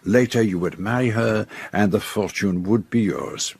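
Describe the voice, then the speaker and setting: An actor playing a confident, methodical detective in a radio drama, speaking formally and deliberately as he lays out a case. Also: lowish voice, gravelly, slightly rough, exaggerated voice. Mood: assured. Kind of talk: radio drama acting, detective's denouement monologue.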